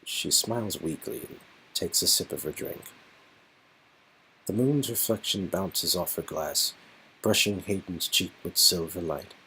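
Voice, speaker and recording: A man's voice: deep voice, measured pace, bright, forceful tone, husky, rough recording. A man narrating a romantic game story in scripted second-person prose.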